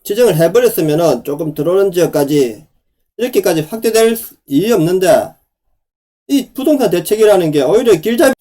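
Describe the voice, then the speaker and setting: A middle-aged man with a sore, hoarse throat, giving insistent solo commentary on housing policy and asking the viewer to agree again and again. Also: sits high, expressive, brisk, mellow. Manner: repeats "그죠?" to the viewer, rhetorical and insistent. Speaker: real estate commentator.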